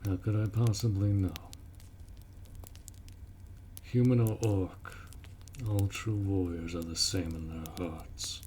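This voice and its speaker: A man playing a gruff, dutiful orc warrior in a fantasy roleplay audio drama, blunt and protective as he speaks to the listener. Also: sits low, steady tone, unhurried, dark tone, slight background noise. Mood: solemn, reassuring.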